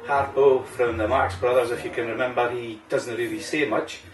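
A middle-aged man with an Aberdeen Scottish accent, hosting a relaxed cigar review chat at a home bar and using local words like "wee" and "aye". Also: mid-range voice, moderate pace, slight background noise. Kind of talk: casual chat. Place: home bar room, indoors. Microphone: camera mic at table distance. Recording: roomy, distant camera mic, faint music.

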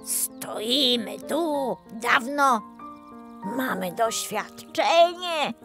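Gloomy old fence post, storybook know-it-all, mocking, extremely slow, swooping delivery. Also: female voice, on the high side, lively intonation, music in the background, full character voice. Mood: mocking, smug. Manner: drawn-out, mocking, patronising. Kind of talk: children's storybook performance.

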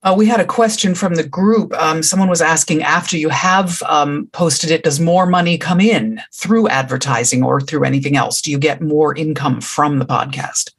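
Older female interviewer, raspy tone, casual hosting over a video call. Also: low-pitched, moderate pace, hardly any pauses. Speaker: interview host.

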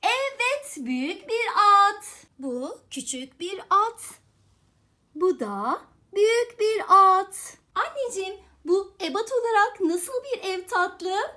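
A woman's voice: very high-pitched, sing-song, slow pace, pushed and bright. A woman speaking as a mother teaching her young child, asking questions and praising in an affectionate, encouraging, repetitive way.

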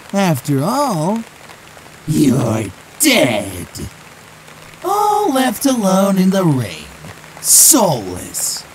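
Nasty little talking flower, bitter n taunting, super slow drawn out, pitch all over the place, comic dub w music behind. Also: female voice, darkish tone, slight background noise, full character voice, poor audio. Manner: mocking, theatrical, drawn-out menace. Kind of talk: fan comic dub acting.

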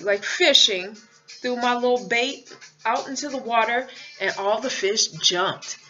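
A candid woman truck driver speaking from her cab, blunt and sassy, dropping a curse here and there. The recording is slightly muffled, and faint music plays quietly behind her. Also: mid-range voice. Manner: candid, sassy, laughs often, swears. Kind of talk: casual advice vlog.

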